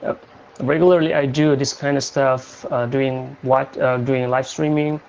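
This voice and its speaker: A young man from Indonesia hosting a casual livestream chat, talking in a halting way with many fillers and restarts. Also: mid-range voice, steady tone, gravelly, darkish tone, lo-fi sound, slight background noise. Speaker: livestream host.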